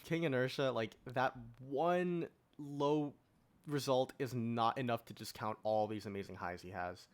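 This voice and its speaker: A young man speaks at a middling pitch in casual podcast debate. He is assertive and emphatic, pressing each point as he argues for his choices. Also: a little slow. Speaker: podcast panelist.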